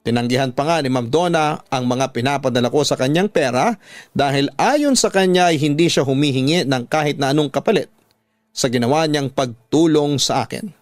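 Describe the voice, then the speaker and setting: A man's voice: mid-range voice, brisk, no room sound, clean studio sound. A man with a Filipino accent reading a scripted, dramatic first-person life story as a radio storytelling host.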